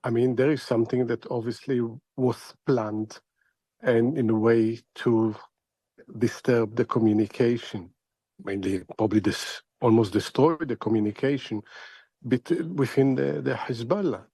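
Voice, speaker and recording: Halting, deliberate male expert analyst, dry sound. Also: mid-range voice, mellow, husky, no room sound. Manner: halting, deliberate, repeating words as he thinks. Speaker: expert analyst, interview guest. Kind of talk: spoken analysis in a news interview.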